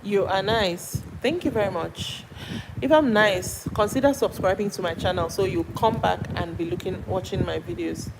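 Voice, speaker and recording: A woman's voice: medium pitch, normal speed, gravelly. A Nigerian woman hosting a casual live stream, greeting viewers by name and answering their comments aloud in a chatty way. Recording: noisy background with passing traffic.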